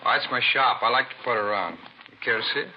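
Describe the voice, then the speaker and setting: A man's voice: mid-range voice, quick pace, highs cut off, heavy background noise, close to an everyday voice. A man acting an evasive, defensive murder suspect in a 1950s radio drama, stammering when pressed, on a vintage recording. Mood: casual, evasive.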